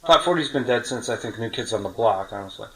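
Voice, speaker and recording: A man's voice: lowish voice, lively intonation, fast talker, very noisy. A man hosting a podcast, talking casually and explaining his opinions at length. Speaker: podcast host.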